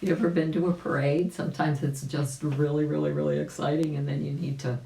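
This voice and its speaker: A woman reading a children's story aloud as a volunteer, unhurried and animated, with chatty asides. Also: deep voice, gravelly. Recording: roomy, echoing sound.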